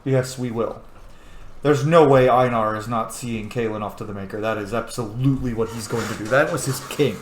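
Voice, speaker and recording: A man's voice: mid-range voice, a little fast, echoey room. A man giving casual, sweary gameplay commentary, talking to the characters as he plays a role-playing game. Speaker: gaming video host playing a role-playing game.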